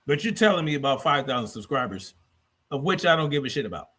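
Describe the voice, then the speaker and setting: A man's voice: mid-range voice, big pitch swings, fast, sharp tone, slightly rough. A man hosting a livestream in a combative, blunt, profane way, repeating phrases for emphasis as he reacts to clips and chat. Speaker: livestream host.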